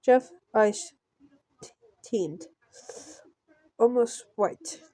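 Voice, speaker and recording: Slow-paced woman reading a game story aloud. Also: on the high side, dark tone, no room sound. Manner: halting delivery, stumbles and repeats words while reading. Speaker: gamer reading a visual novel aloud. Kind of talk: amateur let's play, reading game text aloud.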